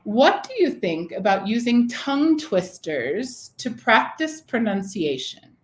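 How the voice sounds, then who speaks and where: A woman leads a live webinar for teachers over a computer mic. She pitches her voice in a middle register, and the audio carries a roomy echo. She keeps the audience engaged with questions and frequent "right?" check-ins. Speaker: teacher trainer presenting a webinar. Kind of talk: live online teaching presentation.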